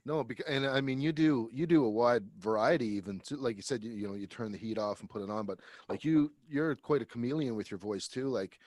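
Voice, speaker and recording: A man co-hosting a casual podcast chat, relaxed and affirming with lots of "like". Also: medium pitch, a little fast, hardly any pauses, no echo, clean studio sound. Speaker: co-host, recording engineer.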